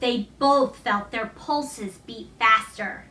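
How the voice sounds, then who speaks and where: A woman reading a children's novel aloud as expressive storybook narration. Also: fairly high pitch, takes it slowly. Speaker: storybook reader. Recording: roomy echo, distant microphone.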